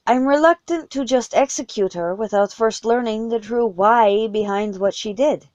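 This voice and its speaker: A woman voicing a commanding, deliberate male vampire leader in a fantasy story, with measured formal speech. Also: a little high, darkish tone, dry recording, lo-fi sound, close to an everyday voice. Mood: grave, reluctant.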